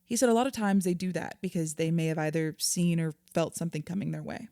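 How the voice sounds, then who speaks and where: A woman acting in an audio drama as a calm, patient therapist, speaking in a measured, reassuring, conversational way. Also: lowish voice, no echo, studio quality, close to an everyday voice. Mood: thoughtful.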